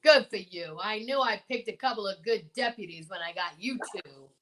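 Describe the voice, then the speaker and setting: A woman playing a stubborn, folksy small-town male marshal in an old-time radio drama, blustering and halting. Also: mid-range voice, a bit bright, natural voice, low-quality recording. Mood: folksy resolve.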